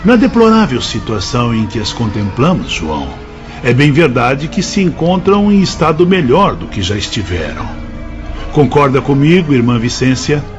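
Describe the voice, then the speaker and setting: A man's voice: medium pitch, big pitch swings, moderate pace, lightly acted. An actor playing a grave, authoritative male spirit mentor in a Brazilian radio drama, with formal delivery and a Brazilian accent. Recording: muffled with music behind.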